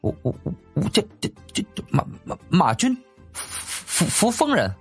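Audiobook refugee lad played by a male narrator: high, nervous, badly stammering, husky. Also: wildly swooping pitch, normal speed, soft background music, full character voice. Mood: flustered. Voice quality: heavy stutter. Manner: hesitant, nervous. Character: timid stuttering teenage boy, poor refugee craftsman.

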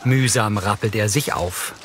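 A man's voice: on the low side, a little slow, noisy background. A man reading scripted scene descriptions in a neutral, factual manner. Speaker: audio description narrator. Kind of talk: scripted narration. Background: music.

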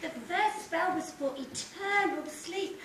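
A woman acts a kindly pantomime fairy with a very high voice. She is earnest and worried. She sounds distant in an echoey, noisy hall. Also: slow pace, fairly bright tone, exaggerated voice. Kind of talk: amateur pantomime stage acting.

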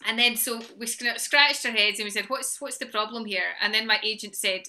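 A woman's voice: on the high side, brisk, sharp tone. A woman in her forties, a novelist chatting on a video call panel, restarting her sentences often. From Scotland. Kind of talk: casual panel talk. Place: home room with bookshelves.

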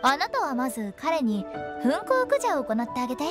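Brisk, confident young female undertaker boss for an anime game; high, very lively delivery. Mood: confident, commanding. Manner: animated, confident, bossy. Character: brisk, playful young female funeral parlor director. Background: background music.

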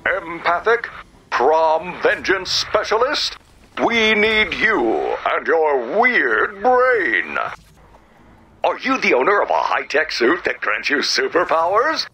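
An actor playing an eager robot who talks like a grandiose, theatrical movie-trailer announcer in a game. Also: male voice, medium pitch, sharp tone, a little hiss or hum, full character voice.

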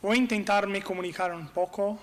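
A man's voice: high-pitched, fairly even intonation, fairly bright tone, a little hiss or hum. A man in his thirties from Brazil with a Brazilian Portuguese accent, giving a conference talk from a table in a brick-walled hall, hesitating often and searching for words. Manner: halting, searches for words with many fillers. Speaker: conference speaker. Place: large hall with brick walls, on stage at a table. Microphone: desk microphone on stand. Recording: long hall reverb.

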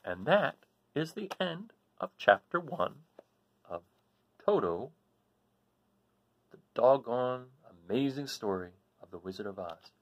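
An American man in his forties from New Jersey, chatting casually and haltingly as he explains things to children. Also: medium pitch, very animated, poor audio. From New Jersey, United States. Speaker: read-aloud host. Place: small living room, seated in an armchair.

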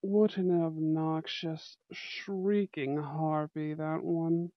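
ASMR roleplay role: aloof young male prince played by a woman, measured, formal, commanding; dry, muffled sound. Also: low voice, steady tone, dry recording, pitched below the performer's own voice. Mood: disdainful. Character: young male bad-boy school prince, protective, aloof. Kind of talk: amateur ASMR audio roleplay acting.

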